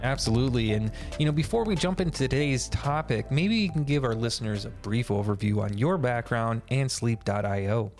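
A man's voice: medium pitch, expressive, brisk, few pauses, darkish tone, soft background music. A man hosting a podcast, giving a scripted welcome and asking long questions with "you know" between phrases. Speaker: podcast host.

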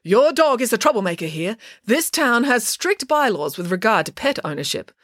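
Woman playing a pompous, high-pitched small-town mayor. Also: big pitch swings, normal speed, fairly bright tone, dry recording, studio quality. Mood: officious. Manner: formal, declaratory, scolding. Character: pompous, officious small-town mayor, scolding and self-important.

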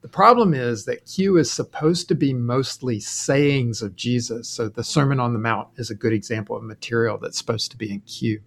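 A man's voice: mid-range voice, moderate pace, hardly any pauses, a little hiss or hum. A man co-hosting a podcast, explaining Bible passages in a conversational, explanatory way and sometimes chuckling. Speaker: podcast co-host.

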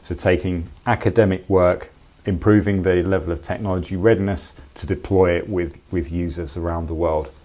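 Man, very low voice, podcast co-host, enthusiastic chat. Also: steady tone, moderate pace, dark tone. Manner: enthusiastic, upbeat.

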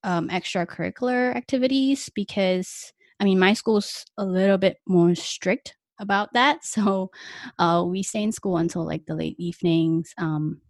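A woman's voice: medium pitch, unhurried, no echo, clean studio sound. Chatty young woman from Malaysia. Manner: chatty, many fillers and small laughs. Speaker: interviewee. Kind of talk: casual conversational interview.

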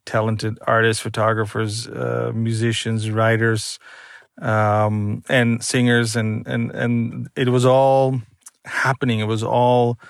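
A man's voice: medium pitch, vocal fry, dry recording, clean studio sound. A man from the Netherlands, a photographer, answering interview questions in a hesitant way with many fillers and restarts.

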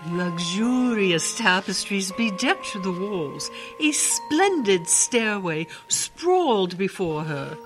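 A woman narrating a radio drama in a theatrical, expressive storytelling style, savouring her words. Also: medium pitch, slow pace, few pauses. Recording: music behind the voice.

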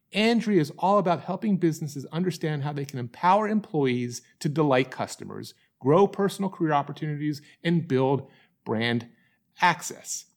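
A man hosting an interview podcast in a friendly way, with small restarts as he talks. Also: sits high. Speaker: podcast host.

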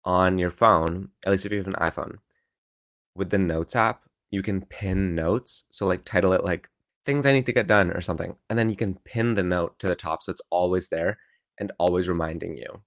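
A young man hosting a casual solo podcast from his room, rambling and encouraging, with lots of filler words and a croaky morning voice. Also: sits low, moderate pace, a bit bright, dry recording, studio quality. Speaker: podcast host. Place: his own room at home.

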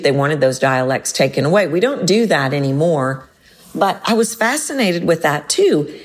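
A middle-aged woman with a Texan accent, hosting a solo podcast as a voice coach, leaping up in pitch to stress words and slowing on key points. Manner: expressive, leaps up in pitch for emphasis, slows on key points.

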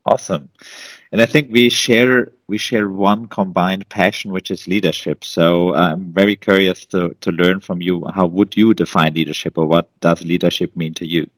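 A man with a deep, low voice hosts an interview podcast. His delivery is conversational, and the sound is very dry, free of any room echo. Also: fairly even intonation, normal speed. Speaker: podcast host.

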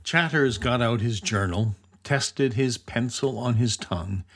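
A man narrating a children's audio drama as an expressive, measured storyteller. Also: mid-range voice.

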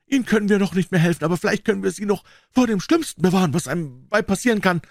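A man voicing a desperate, frantic man rushing to a rescue, in a strained, high shouting voice. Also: vocal fry, no echo, clean studio sound.

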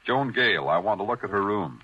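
A man's voice: lowish voice, lively intonation, brisk, sharp tone, slightly rough, full character voice. A man acting a hardboiled, world-weary police detective in 1940s radio noir style, dry, terse and moody. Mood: dry, probing. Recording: muffled vintage radio recording.

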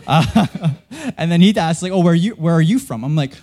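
A man's voice: a little high, expressive, brisk, warm tone. A young man from Canada preaching a sermon as a pastor, telling a story in a casual, self-deprecating way with lots of fillers and restarts.

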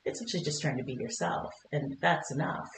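A middle-aged woman from Kentucky, a podcast guest, talking in a warm, chatty way with frequent laughs and fillers. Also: deep voice, brisk, reverberant, a little hiss or hum, low-quality recording. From Kentucky, United States. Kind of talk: conversational interview. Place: podcast studio.

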